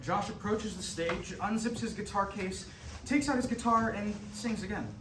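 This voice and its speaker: A man's voice: sits high, normal speed. A man giving scripted narration, reading out stage directions at a staged reading. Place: echoey room, staged reading. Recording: echoey distant mic, clear noise.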